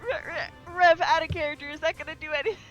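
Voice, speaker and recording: A woman's voice: very high-pitched, steady tone, quick pace, fairly bright tone, faint music behind. A woman playing at a tabletop game table, chatting eagerly in playful slang and laughing easily. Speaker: player. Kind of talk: casual tabletop play chat.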